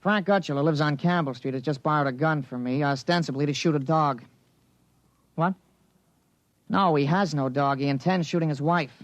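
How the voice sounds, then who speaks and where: A man's voice: fairly high pitch, normal speed, no room sound, lightly acted. An actor playing a man urgently reporting to police in a 1950s radio drama, clipped, formal and authoritative. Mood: urgent, firm. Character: authoritative man phoning police, an adult citizen. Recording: muffled vintage radio broadcast recording.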